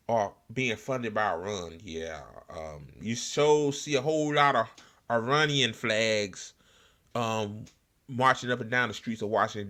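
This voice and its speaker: A man hosting an informal political talk show, speaking in a blunt, sarcastic, profanity-heavy way with halting repetition. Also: fairly low pitch, a bit bright. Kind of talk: informal political rant with commentary.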